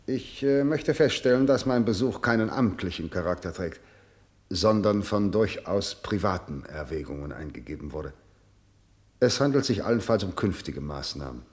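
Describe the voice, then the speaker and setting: An actor playing a coolly guarded senior prosecutor in a radio drama, speaking in a formal, measured, official manner. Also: male voice, low-pitched, expressive, a little mellow. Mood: stiff, official.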